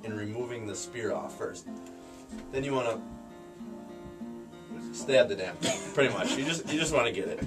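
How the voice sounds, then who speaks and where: Young American male, mid-range voice, casual tongue-in-cheek explainer, guitar music underneath. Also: medium pitch. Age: young adult. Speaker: fisherman guest showing his ice shack. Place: small wooden ice fishing shack. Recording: music playing behind the voice.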